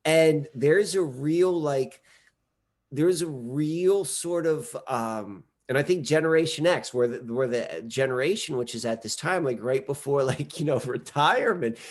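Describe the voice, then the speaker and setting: A man's voice: a little high, measured pace. A man in his forties, a podcast guest, talking casually in a rambling way with many fillers and restarts. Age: 40s. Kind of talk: casual conversation.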